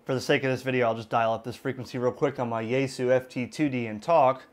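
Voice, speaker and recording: A middle-aged man with an American accent and a mid-range voice talks through a device in an informal, unscripted way. He explains step by step, often halting to think aloud. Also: mid-range voice, fairly even intonation. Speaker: ham radio gadget reviewer. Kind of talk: casual unscripted product demonstration. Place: indoors at a desk in a room.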